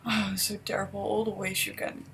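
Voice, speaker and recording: A woman in her twenties with a Dutch accent, talking softly and hesitantly in an unscripted confessional vlog recorded on a webcam. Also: medium pitch, flat delivery, a little fast, a little hiss or hum. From Netherlands. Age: 20s. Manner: soft-spoken, hesitant, self-doubting. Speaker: vlogger.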